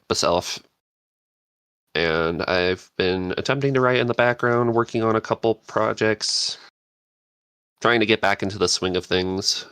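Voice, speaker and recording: A woman guest on a podcast, chatting casually in a halting, loose way. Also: rumbling low, fairly bright tone, smooth, no echo. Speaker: podcast guest, script writer. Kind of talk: casual chat.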